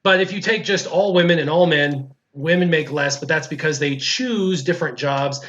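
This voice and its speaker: A man's voice: on the high side, normal speed, crowd noise. A man hosting a casual gaming livestream, joking and opinionated, repeating himself as he talks through viewer questions with a guest. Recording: slightly dull, lo-fi stream audio.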